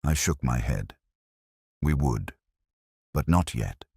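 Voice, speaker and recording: A man reading scripted first-person detective fiction in a measured, brooding, literary manner. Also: a bass voice, expressive, creaky, darkish tone, slightly rough, no echo, clean studio sound. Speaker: narrator.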